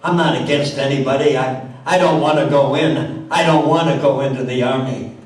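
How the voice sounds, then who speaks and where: An older man playing a gentle, reluctant male conscientious objector from a radio play, speaking plainly and sincerely. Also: mid-range voice, steady tone, moderate pace, lightly acted. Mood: defensive. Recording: very echoey room, distant mic.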